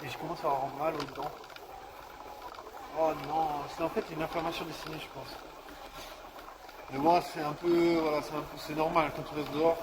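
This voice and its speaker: A man's voice: on the high side, flat delivery, moderate pace, long pauses. Rambling young man outdoors, noisy. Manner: slangy, rambling, self-mocking. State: cold, coming down with a cold. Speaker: vlogger. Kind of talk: rambling outdoor vlog monologue.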